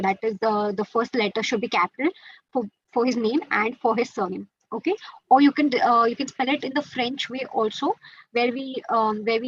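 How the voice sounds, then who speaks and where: A woman's voice: fairly high pitch, fairly bright tone, slightly rough, no room sound, highs cut off. A woman with an Indian accent explaining quiz rules to students on a webinar, mixing Hindi into English with many fillers. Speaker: quiz organizer teaching students. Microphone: video call.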